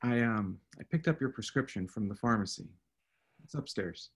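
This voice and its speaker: Man acting a husky, awkward husband. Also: fairly low pitch, expressive, normal speed. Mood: tentative. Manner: hesitant, terse. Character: Adam, awkward husband trying to make amends. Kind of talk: radio drama acting.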